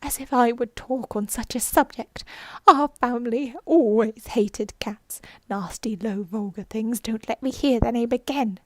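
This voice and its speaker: A woman voicing a small, touchy, passionate mouse from a storybook, shrill and trembling. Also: measured pace, dry recording. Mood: trembling outrage. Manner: passionate, offended.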